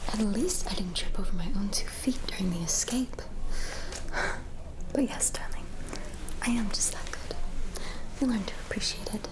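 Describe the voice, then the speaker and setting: A woman's voice: medium pitch, normal speed, pauses often, lightly acted, rough recording. A woman playing a playful, boastful, flirtatious vampire socialite in a whispered ASMR roleplay, with a teasing, haughty manner. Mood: smug, teasing. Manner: teasing, haughty, darling-dropping.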